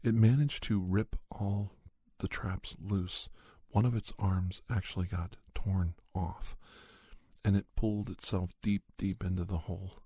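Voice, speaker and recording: Man playing a low, husky, solemn doctor storyteller. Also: steady tone, measured pace, mellow, dry recording, full character voice. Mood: grave, suspenseful. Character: doctor recounting paranormal creature hunts, grave and gentle. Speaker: storyteller, podcast narrator. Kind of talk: ASMR scripted storytelling.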